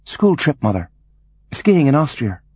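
A man voicing a calculating boy in an audiobook, speaking politely and carefully to a parent in measured phrases. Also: a little high, sing-song, darkish tone, breathy edge, dry recording, voice pushed up, exaggerated voice. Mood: gentle, careful. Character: calculating, polite boy mastermind humouring his mother.

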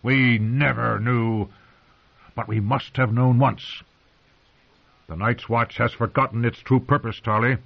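A man's voice: medium pitch, fairly even intonation, measured pace, vocal fry, pauses often, pitched above the performer's own voice. A man voicing a stern, impatient old male commander who growls and scorns. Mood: stern lecturing. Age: older. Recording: muffled lo-fi recording.

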